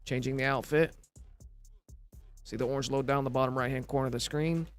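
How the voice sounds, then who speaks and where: A man's voice: mid-range voice, fairly even intonation, fairly quick, faint music behind. Scratchy-voiced man giving game tutorial. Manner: instructional, walks through steps plainly. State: scratchy voice from recovering illness. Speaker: gaming tutorial narrator. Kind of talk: casual step-by-step game tutorial voice-over.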